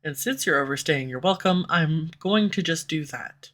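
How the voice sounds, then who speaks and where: A woman voicing a sharp-tongued, tsundere female villain who swears and speaks sarcastically, recorded close on a studio condenser mic. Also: sits low, normal speed. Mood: dismissive. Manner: sarcastic, swears, turns confessional. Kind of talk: audio roleplay. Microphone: studio USB condenser mic.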